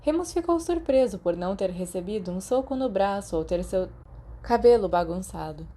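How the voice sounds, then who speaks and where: A woman's voice: mid-range voice, animated, normal speed, warm tone. A woman with a Brazilian accent reading scripted fiction as an audiobook narrator.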